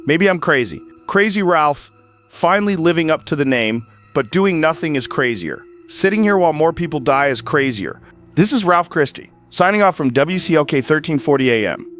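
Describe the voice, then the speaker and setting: A man's voice: a little high, lively intonation, moderate pace, fairly bright tone. A man playing a 68-year-old small-town radio host in an audio drama, solemn and fatalistic, delivering a plain-spoken emergency broadcast monologue. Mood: grimly determined. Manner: solemn, resolute, plain-spoken short declarations. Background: music under the voice.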